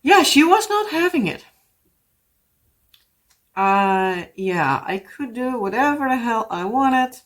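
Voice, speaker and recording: Woman, Dutch accent, native Dutch speaker, casual rambling chat, lots of fillers. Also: mid-range voice. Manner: rambling, full of "like" and "yeah". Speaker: craft vlogger.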